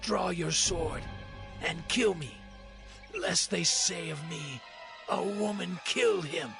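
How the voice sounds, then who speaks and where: A man playing a ruthless warlord commanding his men, gravelly and urgent, in a dramatized scripture reading. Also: high-pitched, slow pace, over music, full character voice. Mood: dying, proud.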